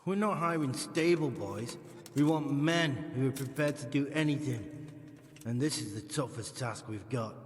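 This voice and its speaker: In a space with a long echo, a hard criminal recruiter talks as acted by a man. His middle-pitched voice is curt and coarse, with a wary, sardonic menace suited to a game cutscene. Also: moderate pace, natural voice. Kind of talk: dramatic video game cutscene acting. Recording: reverberant hall echo.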